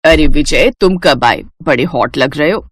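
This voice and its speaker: A woman's voice: sits low, a little slow, a little hiss or hum, pitched below the performer's own voice. A woman voicing an older, strict, scolding mother-in-law for a cartoon, with a stern, chiding manner. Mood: scheming. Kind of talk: cartoon dubbing.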